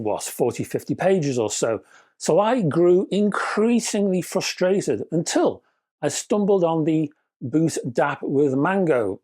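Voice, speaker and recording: A man reviewing a portable music player in an emphatic, wry, opinionated way. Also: a little high. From Britain. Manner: animated, emphatic, wry, British turns of phrase. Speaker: audio equipment reviewer. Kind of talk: scripted product review with opinionated asides.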